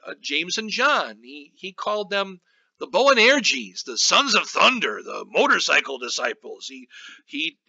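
Bright, forceful male pastor teaching. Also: high voice, creaky, no room sound, muffled recording. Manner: halting, reflective teaching with scripture quotations. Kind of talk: informal Bible study commentary.

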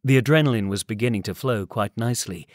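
A man's voice: fairly low pitch, fast, no echo, studio quality. A man reading an audiobook, giving scripted story narration. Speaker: audiobook reader.

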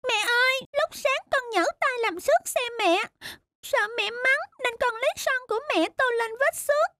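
A woman's voice: very high voice, expressive, moderate pace, sharp tone, no echo, clean studio sound, full character voice. A woman voicing a mischievous, fretful, affectionate small boy in a children's cartoon. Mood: sheepish. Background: children's background music.

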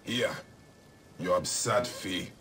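A man's voice: low voice, slow pace, full character voice. An actor playing a stern, wary male warrior of ancient Egypt in a video game, speaking in a terse, dry way. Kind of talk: video game character acting. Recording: loud music behind the voice.

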